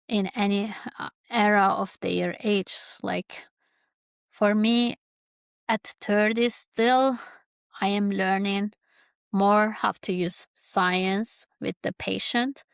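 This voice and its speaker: A woman speaks slowly and haltingly, stopping often to look for the right words. Her voice has a slightly gravelly edge, and the recording is dry and close, with no sense of the room. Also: medium pitch, no room sound. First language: Kurdish. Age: 30s. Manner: halting, searching for words, many fillers. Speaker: podcast host. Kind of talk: reflective personal monologue.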